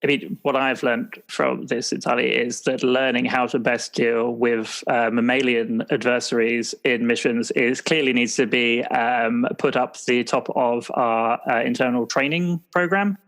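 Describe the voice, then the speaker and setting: A man playing an earnest, rule-minded secret agent in an improvised roleplay, speaking haltingly and conscientiously. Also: mid-range voice, fairly even intonation, vocal fry, fairly bright tone. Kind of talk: improvised roleplay audio drama.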